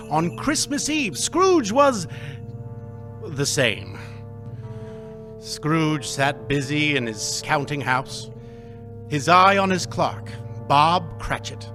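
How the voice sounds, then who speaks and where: A man narrating a live radio play with deliberate, emphatic storytelling. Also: fairly high pitch.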